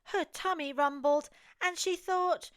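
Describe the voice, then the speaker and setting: English female storyteller, very high voice, unhurried and expressive, for toddlers' picture books. Close, dry sound. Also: no room sound. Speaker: children's storyteller. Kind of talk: read-aloud picture book narration for toddlers.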